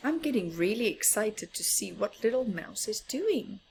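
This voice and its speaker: A woman's voice: mid-range voice, sing-song, normal speed, vocal fry, a little mellow, a little hiss or hum. A woman reading a picture book aloud expressively for young children, putting questions to them and often dropping toward a whisper. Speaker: children's storyteller.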